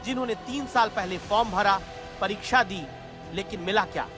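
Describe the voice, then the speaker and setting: High-pitched male news presenter over music. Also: fairly quick. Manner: dramatic, rhetorical delivery. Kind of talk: scripted TV news narration. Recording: loud music bed under voice.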